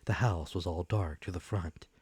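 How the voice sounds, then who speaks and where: A man's voice: deep voice, normal speed, a little mellow, breathy edge, no room sound. A man reading a classic adventure story as an audiobook narrator, with dramatic scripted delivery.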